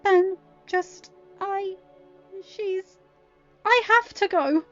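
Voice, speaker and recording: A woman's voice: extremely high pitch, drawn-out words, pitched above the performer's own voice, exaggerated voice. A woman voicing a guarded, exhausted teenage girl in an audio story, pleading and full of self-doubt. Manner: pleading, soft then snapping. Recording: dull lo-fi audio. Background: faint music.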